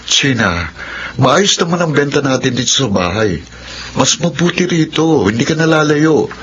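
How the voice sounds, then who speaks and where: A man's voice: mid-range voice, sing-song, normal speed, lo-fi sound, noisy background, natural voice. A man acting an indecisive mama's-boy husband and father with a Filipino accent in a radio drama, earnest and deferring. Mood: hesitant, defensive.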